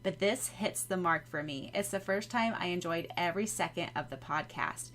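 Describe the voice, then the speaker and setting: A woman's voice: on the low side, moderate pace, a bit bright, a little hiss or hum. A woman from the United States co-hosting a podcast, chatting casually and reading out comments in an effusive, giggly manner. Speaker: podcast co-host.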